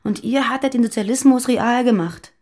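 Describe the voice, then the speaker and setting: A woman playing a fearful, guarded former militant on the run in a naturalistic radio drama, confiding and halting. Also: fairly high pitch, a little mellow, natural voice. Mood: weary, confessing.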